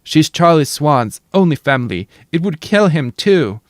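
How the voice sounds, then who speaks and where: A gentle, worried young woman who sees the future, as a man puts her on while reading a novel aloud. Her voice is soft and pleading, pushed up into a higher register. The sound is close and dry. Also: big pitch swings, unhurried, no echo.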